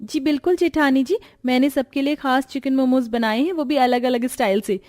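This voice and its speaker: Young cartoon bride acted by a woman: high voice, polite, eager, respectful to elders. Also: normal speed, darkish tone, soft background music, natural voice. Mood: cheerful. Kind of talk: cartoon voice acting.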